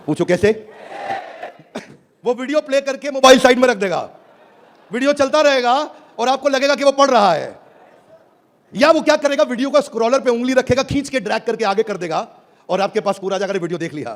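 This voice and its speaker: A man giving a high-energy stage presentation at a product launch, theatrical and calling out to the audience for replies, swinging between booming and hushed delivery. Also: expressive, a little fast, pauses often. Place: stage before a live audience.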